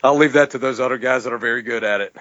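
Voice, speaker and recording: A man's voice: mid-range voice, very fast, a bit bright, no room sound, muffled recording. A man speaking as a strength coach and podcast guest in casual, rambling interview talk peppered with "you know".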